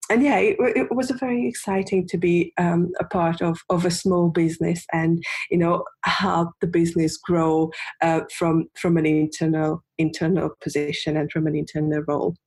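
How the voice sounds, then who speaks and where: Husky-voiced Hungarian woman guest, halting. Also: lowish voice, steady tone, a little mellow. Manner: thoughtful, halting, many repeated words. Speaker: guest, UX designer. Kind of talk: casual interview answers.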